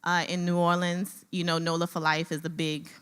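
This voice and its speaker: A woman from a nonprofit giving a conversational comment at a conference, with a loud voice and frequent filler words. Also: fairly low pitch, little pitch movement, moderate pace, clear, resonant tone. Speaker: nonprofit staffer. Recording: reverberant conference hall.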